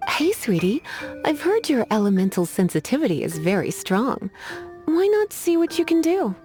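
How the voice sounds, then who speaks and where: An actress playing a languid, teasing adult librarian mage who scolds with playful menace. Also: female voice, a little high, sing-song, moderate pace, dark tone. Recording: game cutscene with faint music behind.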